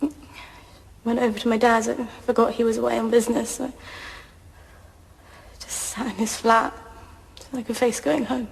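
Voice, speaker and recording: An actress playing a frightened teenage girl on the witness stand in a courtroom audio drama, speaking haltingly. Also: fairly high pitch, level tone, vocal fry. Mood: uneasy, subdued. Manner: halting, faltering. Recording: echoey hall reverb.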